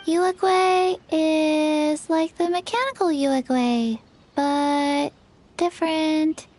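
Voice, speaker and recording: Deadpan little undead girl, game role: earnest, halting, high, extremely slow. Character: small undead girl, forgetful, earnest and flat. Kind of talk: video game voice acting. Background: children's music.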